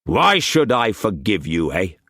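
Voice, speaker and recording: A man's voice: on the low side, huge pitch swings, unhurried, sharp tone, no echo, studio quality, exaggerated voice. A man voicing a furious, domineering master who barks harsh commands at his household. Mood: stern, cold.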